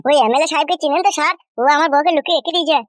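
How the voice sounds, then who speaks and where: High-voiced whiny, bumbling cartoon village husband. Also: female voice, fairly even intonation, racing, no room sound, studio quality, close to an everyday voice. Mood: pleading. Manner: pleading, bumbling, mispronounces words. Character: naive, whining, stubborn village husband in cartoon. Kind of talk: exaggerated comic cartoon dialogue.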